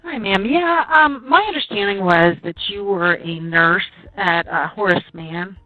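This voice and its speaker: A woman's voice: mid-range voice, unhurried, crowd noise. An American woman, a police detective, questioning someone politely and informally over the phone. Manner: polite, conversational. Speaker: police detective interviewing witnesses. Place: on a telephone call. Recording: tinny, narrow phone line.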